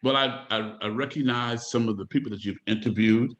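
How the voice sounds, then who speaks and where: A man's voice: medium pitch, fairly quick, a bit bright. A male interviewer with a mid-range voice asks brief questions and nudges along with small murmurs. The audio sounds dull and lo-fi, as if it came through a remote connection. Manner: brief prompts and encouraging murmurs.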